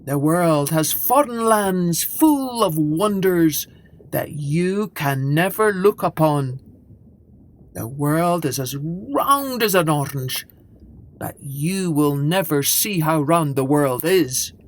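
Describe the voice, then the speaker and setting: A worldly old-style storybook ship, voiced by a woman, looks down on its listener. It declaims grandly and slowly. The pitch sits well below a usual female storyteller's. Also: lively intonation. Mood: lofty, superior. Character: lofty male talking ship, worldly and condescending.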